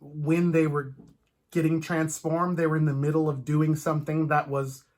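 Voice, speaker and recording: A man chatting casually about films as a host, in an animated, rambling fan's way. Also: a little high, moderate pace.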